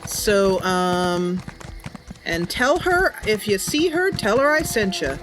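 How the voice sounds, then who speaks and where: A woman's voice: mid-range voice, sing-song, slow, rough recording. A woman giving casual, chatty, enthusiastic livestream commentary as she plays a game. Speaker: game streamer and channel host. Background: game music and sounds.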